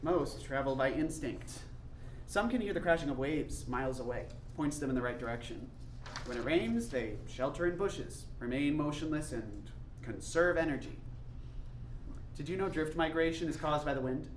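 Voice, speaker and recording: A man's voice: a little high, lively intonation, normal speed, natural voice. Staged play: male actor as dreamy teenage son, earnest, obstinate, digressive; reverberant room with faint noise. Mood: earnest wonder. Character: dreamy, stubborn college-bound son obsessed with birds. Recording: echoey room, distant mic, faint noise.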